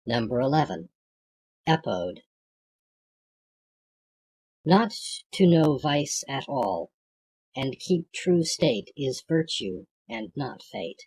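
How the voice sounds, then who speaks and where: An audiobook reader reciting poetry from a script in a measured, declamatory way, with a husky, gravelly voice. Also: medium pitch, sing-song, darkish tone, low-quality recording.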